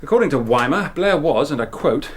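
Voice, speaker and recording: An actor playing a weary, blunt investigator in a radio drama, wry and plain-spoken. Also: male voice, on the high side, lively intonation, brisk, slight background noise, natural voice. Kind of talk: naturalistic radio drama acting.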